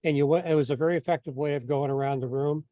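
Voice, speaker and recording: A man taking part in a group teleconference, talking in a measured, courteous, businesslike way. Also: on the high side, fairly even intonation, mellow, no room sound, highs cut off. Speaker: meeting participant. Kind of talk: discussion on an online group call. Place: remote teleconference.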